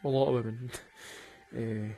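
A man's voice: medium pitch, fairly even intonation, a little slow, warm tone, husky, faint music behind. A man with a Glaswegian Scottish accent, rambling and swearing through casual stories and laughing at his own jokes. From Glasgow, Scotland. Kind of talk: casual storytelling monologue.

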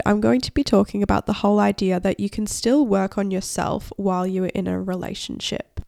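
A woman's voice: medium pitch, vocal fry, mellow, dry recording, studio quality. A young woman in her twenties with a cold, sounding nasal as she hosts her own podcast in chatty, upbeat solo talk. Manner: chatty, upbeat, talks with many likes. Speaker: podcast host. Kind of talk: casual solo podcast chat.

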